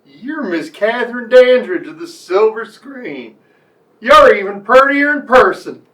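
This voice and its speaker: Brash, folksy Texas magnate for radio comedy; lively, distant from the mic. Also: male voice, very high voice, unhurried, exaggerated voice. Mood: delighted, flirtatious. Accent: Texan. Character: folksy, bossy Texas tycoon, loud and charming. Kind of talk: radio play comedy acting.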